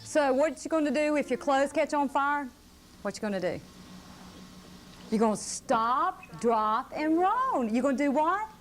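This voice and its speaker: A woman's voice: high-pitched, lively intonation, a little slow, over music. A woman giving a simple safety lesson to a live group of children, checking with questions as she explains. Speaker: fire safety educator. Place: live puppet show presentation. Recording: faint noise on VHS tape.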